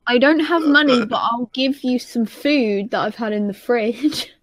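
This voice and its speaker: Slightly high-pitched young woman chatting. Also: measured pace. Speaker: podcast co-host. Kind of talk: casual chat.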